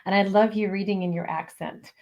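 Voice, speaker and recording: Female co-host, enthusiastic and effusive; casual fan-like warmth toward the guest. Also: mid-range voice, fairly even intonation, brisk. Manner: enthusiastic and gushing, laughs easily. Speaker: podcast co-host. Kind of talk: live podcast hosting.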